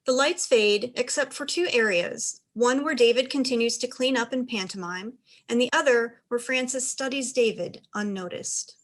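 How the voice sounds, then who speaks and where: A woman's voice: a little high, moderate pace, hardly any pauses. A woman reading stage directions as scripted narration for a play.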